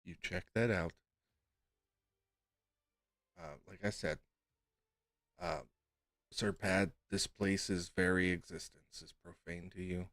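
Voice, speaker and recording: A man's voice: sits low, steady tone, takes it slowly, long pauses, a bit bright, no echo. A man acting as game master, casually narrating a tabletop game and talking with the players.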